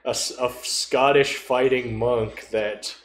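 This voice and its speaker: A man's voice: medium pitch, steady tone, a little slow. A man in casual conversation, explaining things in a somewhat hesitant way. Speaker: podcast participant discussing tabletop games. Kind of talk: casual chat. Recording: roomy echo with faint noise.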